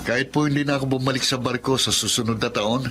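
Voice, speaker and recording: Devoted Filipino seafarer husband played by an actor, noisy backdrop. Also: male voice, mid-range voice, steady tone, fast, lightly acted. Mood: warm, reassuring. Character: devoted seafarer husband and father, tender, excitable. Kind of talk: Filipino radio drama acting. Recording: noisy recording with background ambience.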